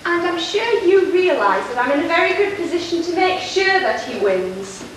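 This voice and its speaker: Scheming courtly nobleman, acted by a woman, amateur pantomime, lively intonation, distant echoey recording, faint noise. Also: very high-pitched, moderate pace. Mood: smooth, courting. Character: scheming smooth-talking nobleman courting a lady. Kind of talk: amateur pantomime stage acting.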